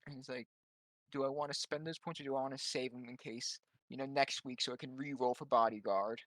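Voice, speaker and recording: A man's voice: medium pitch, steady tone, fairly quick, fairly bright tone, no room sound. A man chatting casually as a player in a tabletop game. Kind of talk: casual chat.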